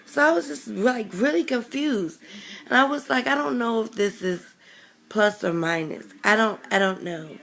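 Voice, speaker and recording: A young woman vlogging casually with a raspy voice from a chest cold, rambling with many ums and self-corrections. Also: fairly high pitch, moderate pace, a bit bright. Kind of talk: casual chatty vlog.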